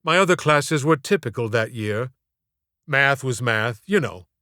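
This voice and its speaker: A man's voice: medium pitch, animated, unhurried, sharp tone, dry recording, studio quality. A man narrating a comic story as an audiobook, in a theatrical, wry, mock-formal way.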